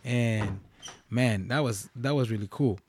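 A man's voice: mid-range voice, measured pace, darkish tone, slight background noise. A young man hosting his own podcast, talking casually and rambling with restarts and slang. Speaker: podcast host. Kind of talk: casual solo podcast talk.

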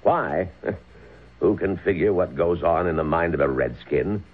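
An actor playing a bigoted, vengeful older frontier settler in a radio drama, blustering and indignant. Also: male voice, low-pitched, unhurried, husky, narrow, tinny audio. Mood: indignant, contemptuous. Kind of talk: old-time radio drama acting. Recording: band-limited old radio drama recording.

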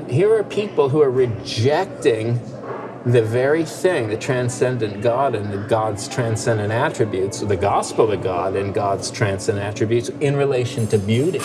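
A man's voice: medium pitch, measured pace, over music. A man co-hosting a casual talk show, speaking thoughtfully with many fillers and restarts. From part Finnish heritage. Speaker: podcast co-host. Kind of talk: casual discussion.

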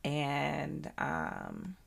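A woman hosting a podcast in casual chat, relaxed and thoughtful as she gives her opinions. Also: deep voice, very slow, vocal fry. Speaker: podcast host.

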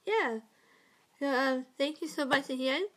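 A woman hosts a podcast in casual chat, her voice a bit high. She speaks hesitantly, with fillers and small giggles. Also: measured pace, a bit bright. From California, USA. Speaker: podcast host.

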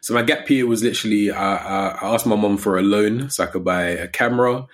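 A young English man in his twenties with a low-pitched voice talks as a podcast guest. His speech is informal and slangy, in the easy style of a casual chat about his own work. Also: a little fast. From Coventry, England. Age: 20s. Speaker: podcast guest, digital creator.

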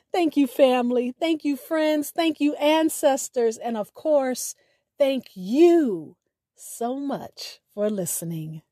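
Female podcast host, measured scripted delivery with poetic phrasing, unhurried pace, slightly high voice. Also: a little mellow, no room sound, clean studio sound. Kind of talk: scripted radio show sign-off with spoken poetry.

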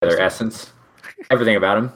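A man's voice: on the low side, fast, a bit bright, low-quality recording. A man in his thirties chatting casually as a co-host, rambling and restarting his sentences with a joking tone. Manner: rambling, self-interrupting, joking. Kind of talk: casual chat.

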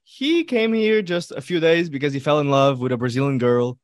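A man's voice: on the high side, lively intonation, moderate pace, no echo, clean studio sound. A man chatting casually and informally as a podcast co-host, often repeating words as he goes. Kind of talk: casual chat.

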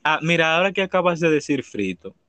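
A man's voice: fairly high pitch, sing-song, quick pace, dry recording. A man with a Dominican accent hosting a casual podcast chat, playful and teasing. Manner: playful, teasing, laughs loudly. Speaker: podcast host.

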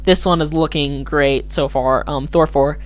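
Solo podcaster, slightly hoarse, mid pitch, rambles and corrects themselves. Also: fairly even intonation, unhurried. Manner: rambling, hesitant, self-correcting. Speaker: podcast host. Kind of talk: casual solo commentary.

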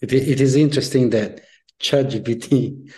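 A man's voice: mid-range voice, moderate pace, a little mellow. A man from Italy with an Italian accent, answering as an interview guest in thoughtful, hesitant phrases. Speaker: interview guest, leadership coach. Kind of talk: conversational interview.

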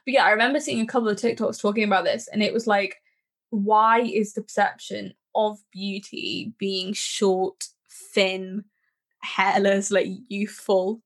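A woman's voice: medium pitch, flat delivery, unhurried. A woman in her twenties co-hosting a podcast, chatting casually and laughing often. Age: 20s. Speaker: podcast co-host.

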